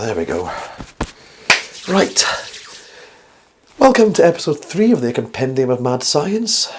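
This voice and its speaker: A man with a slightly croaky, flu-worn voice hosts a casual instructional science vlog, chatty and rambling with wry, self-deprecating asides. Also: mid-range voice, darkish tone, a little hiss or hum. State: getting over the flu. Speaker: host of a science video series.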